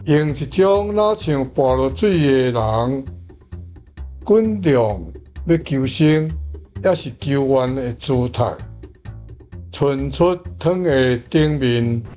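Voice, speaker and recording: Slow-paced Taiwanese man reading model sentences. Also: mid-range voice, lively intonation, a little mellow, soft background music. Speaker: language teacher. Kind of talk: measured model translation read aloud.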